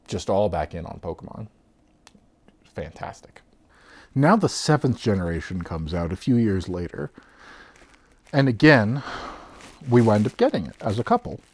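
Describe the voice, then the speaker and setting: A man in his thirties casually telling his own story for a vlog, rambling and laughing as he goes, often trailing off softly, sometimes a little off his own mic. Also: sits low. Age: 30s. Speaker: vlogger. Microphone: own mic, off-axis at times.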